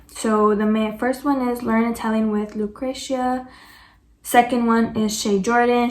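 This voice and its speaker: A woman's voice: a little high, roomy sound. A woman vlogger answering viewer questions in casual chat, chatty and peppered with "like" and self-corrections.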